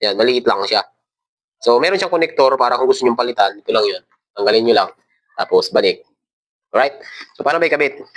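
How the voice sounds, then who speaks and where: A man's voice: mid-range voice, a bit bright. Filipino man giving a casual hands-on tutorial. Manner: informal, instructing step by step while handling parts. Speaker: tutorial host.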